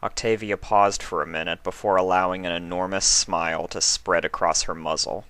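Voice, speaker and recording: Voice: sits low, fairly even intonation, normal speed, a bit bright, dry recording. A narrator reading a scripted story aloud as narration. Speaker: narrator and reader.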